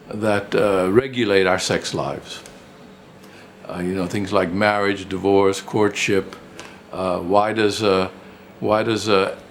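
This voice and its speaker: An older man reminisces in a relaxed interview style, his voice low and full of gravelly creak. He tells stories in a rambling, conversational way, circling back to repeat phrases. There is some ambient noise behind him. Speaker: retired professor and writer.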